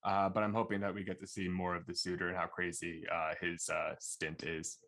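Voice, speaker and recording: Male actor with a lowish voice, casual, easygoing, a bit halting. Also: level tone, a bit bright. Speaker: voice actor guest. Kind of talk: casual chat.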